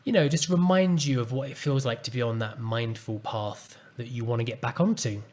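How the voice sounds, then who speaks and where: A man hosting a solo podcast, speaking calmly and earnestly as he reflects on a personal story. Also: medium pitch, fairly quick. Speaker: podcast host and coach.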